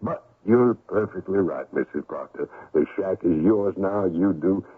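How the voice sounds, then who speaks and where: An actor with an American accent playing an easygoing, smitten older gold miner husband in a radio drama, folksy and drawling. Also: male voice, deep voice, unhurried, breathy edge. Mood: indulgent. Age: middle-aged. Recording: narrow-band old radio recording.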